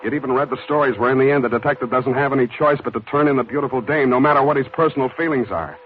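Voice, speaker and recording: Muffled old radio show, guy playing tough american detective, rattles lines off quick, cynical and wry. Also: medium pitch, lightly acted. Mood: bitter, scornful. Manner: brusque, cynical, wisecracking. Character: wry, hard-boiled male private detective. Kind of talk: 1940s American radio drama acting. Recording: dull, lo-fi 1940s radio recording.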